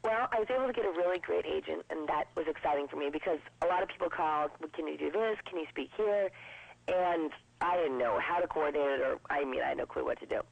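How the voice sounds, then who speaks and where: Low husky-voiced young woman. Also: no echo. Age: 20s. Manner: chatty and upbeat, quick with jokes. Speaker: interview guest. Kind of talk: casual interview. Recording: dull lo-fi broadcast sound.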